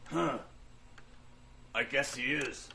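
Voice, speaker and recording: A man playing a fastidious demon torturer in a comic fantasy audio drama, put-upon and offhand like a grumbling workman. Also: mid-range voice, vocal fry, bright, forceful tone, a little hiss or hum, heavily acted. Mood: weary, offhand. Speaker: voice actor.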